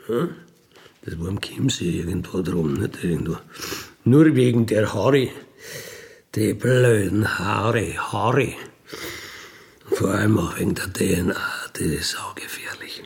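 A middle-aged man with a Bavarian accent tells first-person anecdotes casually, rambling along with colloquial tag questions and throat clearing. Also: fairly low pitch, animated, a little slow, long pauses. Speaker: storyteller, self-described burglar.

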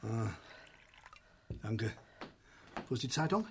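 A man's voice: mid-range voice, big pitch swings, slow pace, creaky, background noise, exaggerated voice. A man playing a pompous, nagging middle-aged father, lecturing sarcastically in a radio play. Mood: jovial. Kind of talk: naturalistic German radio play acting.

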